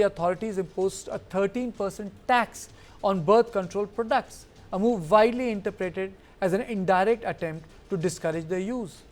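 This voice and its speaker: A man's voice: high voice, darkish tone. A man reading a scripted news voice-over in a formal, emphatic manner. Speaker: news narrator.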